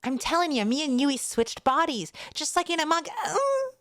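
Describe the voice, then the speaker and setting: Casting: woman as a goofy teen boy from a light novel, raised pitch, slangy, earnest, pleading. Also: big pitch swings, normal speed, fairly bright tone, dry recording. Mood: insistent. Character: goofy, earnest teenage boy desperate to be believed.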